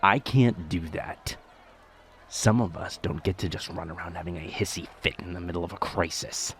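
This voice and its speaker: A man portrays a curt officer in an audio drama. His voice is deep, clipped and officious, edged with irritation. Outdoor noise is audible behind him. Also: moderate pace. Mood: irritated. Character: stern, curt male officer guarding a scene.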